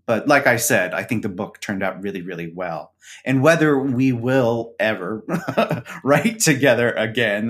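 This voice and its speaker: A man's voice: medium pitch, fairly bright tone. Man, hesitant, fillers and restarts, guest author, casual chat.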